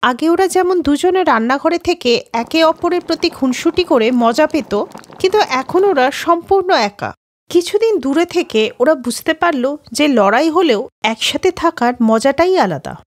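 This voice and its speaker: Female narrator for children's tales, high-pitched, with expressive, swooping intonation. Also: normal speed, dry recording. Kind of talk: scripted children's story narration.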